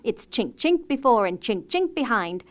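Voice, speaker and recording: A woman voicing a spiteful, greedy stepmother who scolds loudly. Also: on the high side, very animated, unhurried. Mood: scolding. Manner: loud, scolding.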